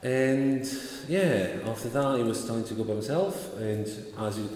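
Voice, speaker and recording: A man in his thirties from Italy, speaking English with an Italian accent touched by Scottish, relaxed as an interview guest. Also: medium pitch, unhurried. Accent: Italian with a Scottish tinge. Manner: relaxed, gesturing while he talks. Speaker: sword maker, interview guest. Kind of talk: casual interview answers. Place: large bare hall, indoors. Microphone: far camera. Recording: echoey hall with faint noise.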